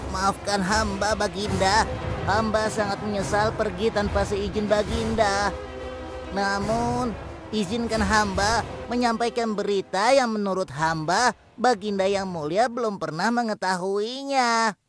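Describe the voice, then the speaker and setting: A woman's voice: mid-range voice, unhurried, natural voice. A woman voicing a humble, eager hoopoe bird messenger in a cartoon, speaking deferentially. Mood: apologetic. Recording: dry with faint music.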